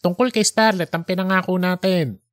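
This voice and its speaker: A man's voice: sits high, normal speed, no echo, clean studio sound, voice pushed up, close to an everyday voice. A man voicing an exasperated newspaper editor who questions sharply while holding back his irritation, in an audiobook story. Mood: exasperated, stern. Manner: restrained irritation, pointed questioning.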